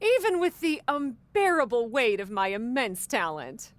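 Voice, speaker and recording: A woman's voice: on the high side, very animated, measured pace. An actress playing a brash, vain young woman who manages wrestling shows, with a smug, sardonic, theatrical delivery in a video game cutscene. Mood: smug, mocking.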